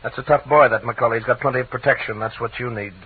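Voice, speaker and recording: An actor playing a menacing, coolly controlled crime boss in a 1940s radio drama. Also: male voice, mid-range voice, steady tone, quick pace, slightly rough. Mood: commanding, wary. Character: smooth-talking menacing male racketeer boss. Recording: tinny old radio recording.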